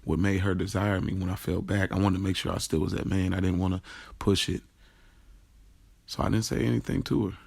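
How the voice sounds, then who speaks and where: A man's voice: low-pitched, steady tone, a little fast, gravelly, a little mellow. A man playing a streetwise young man who tells his love affair in candid, slangy first-person drama narration, with music behind him. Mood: confiding, uneasy. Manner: colloquial, slangy storytelling.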